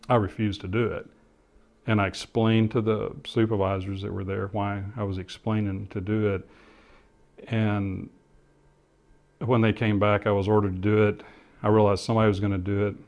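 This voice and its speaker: A middle-aged man giving interview testimony in a halting, reflective way as he explains what he remembers. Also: lowish voice, darkish tone. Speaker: interviewee, former air traffic controller and pilot.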